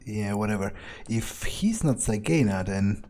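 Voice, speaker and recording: A man with a husky voice doing in-character commentary on a game, musing aloud to himself. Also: on the low side, lively intonation, a little slow, a little mellow, slight background noise. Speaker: gaming video maker.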